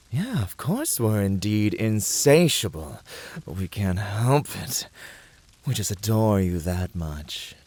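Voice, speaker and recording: A man performs a fiery, flirtatious incubus in an ASMR roleplay. The voice is low and dark, with a husky breathiness. He is playful and passionately devoted, drawing out his teasing, sultry lines and often dropping into whispers. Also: big pitch swings, slow pace, pauses often, dry recording, exaggerated voice.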